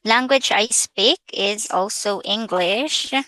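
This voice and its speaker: A woman's voice: medium pitch, takes it slowly, pushed and bright, dry recording, low-quality recording. A woman from the Philippines with a Filipino accent, speaking English as a second language after Tagalog, walking viewers through a sign-up in a hesitant, casual way and slipping into Tagalog now and then. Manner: hesitant, mixing English with Tagalog, frequent fillers. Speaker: vlogger. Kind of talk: casual screen-share tutorial.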